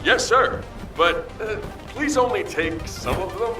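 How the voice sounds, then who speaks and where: A man's voice: high voice, expressive, measured pace, exaggerated voice. A man playing an easygoing, teasing squad soldier in a video game. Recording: distant, loud music behind.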